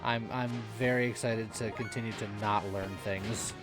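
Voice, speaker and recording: A man's voice: lowish voice, steady tone, normal speed. A man giving casual, dryly jokey, mock-complaining commentary as he streams a video game. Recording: music in the background. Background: game music.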